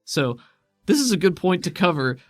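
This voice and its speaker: A man's voice: high voice, sing-song, moderate pace, no echo, clean studio sound. A man running a tabletop role-playing game, narrating scenes and explaining rules in a conversational, explanatory way. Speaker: game master. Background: music.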